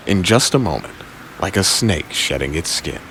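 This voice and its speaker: A man with a low voice narrates a scripted audio drama. His delivery is suspenseful and varied in pitch, broken by dramatic pauses, over faint background noise. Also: lively intonation, fairly bright tone, rough recording.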